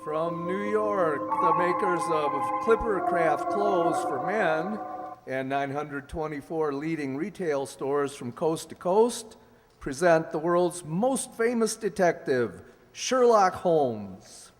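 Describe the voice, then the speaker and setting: Hearty vintage radio announcer, male actor, higher register, formal and courteous, hall reverb. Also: expressive, slow pace, very noisy. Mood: formal, presentational. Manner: formal, presentational. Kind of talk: 1940s radio announcing, amateur re-enactment. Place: live stage in a hall. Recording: reverberant hall stage recording.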